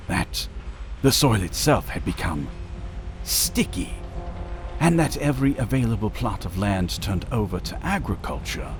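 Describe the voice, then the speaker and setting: Guy narrating an epic audio drama, lowish voice that rises and falls a lot, ambient noise behind him. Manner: measured, dramatic delivery. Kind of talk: scripted dramatic storytelling narration.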